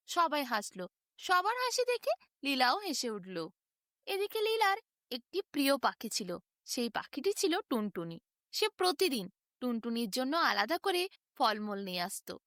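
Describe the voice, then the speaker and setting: A woman narrating a bedtime fairy tale in an expressive, dramatic, emphatic storytelling manner. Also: high voice, no echo, clean studio sound.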